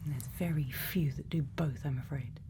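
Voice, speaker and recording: A woman playing a gentle, quietly kind scientist in a soft, often whispered ASMR roleplay, soothing and reassuring with many pauses. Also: low-pitched, noisy background. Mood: gently curious. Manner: slow, soothing, pausing, reassuring. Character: gentle female scientist, quietly kind, programming a robot friend.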